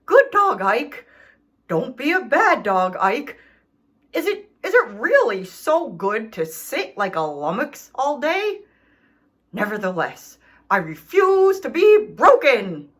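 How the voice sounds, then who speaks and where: Woman as melodramatic storybook dog, aggrieved, emphatic, high-pitched, slow, very animated. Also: heavily acted. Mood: defiant, complaining. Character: indignant, self-pitying, melodramatic male dog writing letters home. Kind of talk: expressive children's storybook read-aloud.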